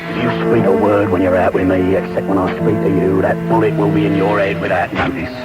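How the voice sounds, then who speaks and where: An actor playing a brutal, menacing housebreaker in a radio drama, gruff and commanding in manner. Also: male voice, low voice, little pitch movement, fairly quick, loud music behind the voice, lightly acted. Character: brutal, menacing London housebreaker, a grown man. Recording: noisy medium-wave radio broadcast tape.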